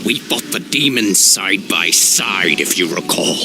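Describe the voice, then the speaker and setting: Brooding, bitter elf demon hunter. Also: male voice, deep voice, expressive, a little slow, vocal fry, music in the background, exaggerated voice. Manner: brooding, defiant.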